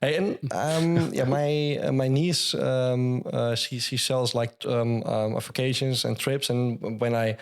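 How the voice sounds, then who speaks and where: A man hosting a podcast, asking questions in a halting, friendly way with many pauses and restarts. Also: medium pitch, fairly even intonation. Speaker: podcast host. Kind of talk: casual podcast interview.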